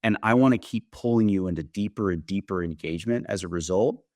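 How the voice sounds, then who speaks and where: A man with a deep voice talks through a product as its founder. His delivery is a conversational, explanatory pitch, punctuated with little "right?" check-ins. The sound is dry, with almost no room to it. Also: fairly quick, darkish tone, no room sound. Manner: explanatory, often tags points with "right?". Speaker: company founder demonstrating software, interview guest.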